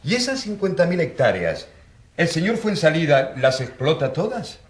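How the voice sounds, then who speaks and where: A man's voice: a little high, very animated, normal speed. An actor playing an earnest country priest in his thirties, pleading with rhetorical force in a radio drama. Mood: impassioned. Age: 30s. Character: impassioned rural priest of 34, defending poor peasants. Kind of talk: radio drama courtroom oratory. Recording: roomy echo.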